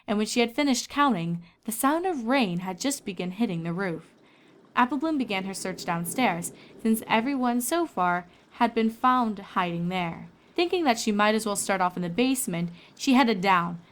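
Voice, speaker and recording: A woman reading scripted story narration as a fan fiction reader. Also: mid-range voice.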